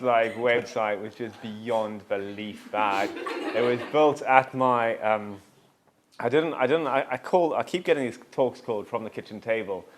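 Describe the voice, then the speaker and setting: A man, a tour company founder telling his story in a relaxed, self-deprecating way, full of fillers and asides. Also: lowish voice, slight background noise. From South Africa. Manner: self-deprecating, jokey, rambling with many fillers. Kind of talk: casual interview storytelling.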